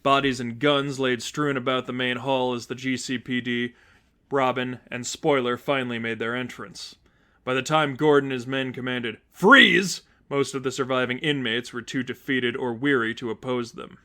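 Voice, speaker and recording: Man narrating a story, mid-pitched voice. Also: level tone, normal speed, fairly bright tone, smooth. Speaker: fan fiction narrator.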